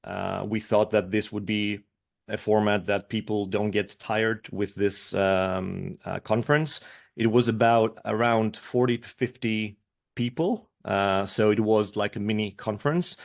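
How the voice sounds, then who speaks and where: A man's voice: on the low side, creaky. A man from Greece giving a webinar presentation over a video call, hesitant with many fillers and restarts.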